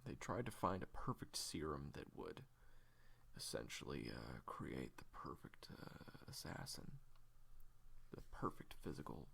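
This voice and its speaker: A weary, secretive assassin played by a man in an audio roleplay, speaking very low and slowly. The voice is gravelly and often sinks toward a whisper, with halting, deliberate phrasing that rises and falls widely as the grim story unfolds. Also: big pitch swings, fairly bright tone, slight background noise, heavily acted. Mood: grim, subdued. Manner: halting, deliberate, with heavy pauses. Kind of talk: hushed confessional storytelling in audio drama.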